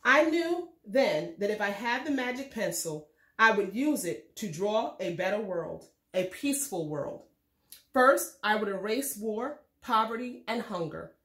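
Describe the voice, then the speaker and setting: A woman reading a children's storybook aloud in a measured, expressive way. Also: medium pitch, echoey room. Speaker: read-aloud host reading a children's book. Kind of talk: scripted storybook narration for children.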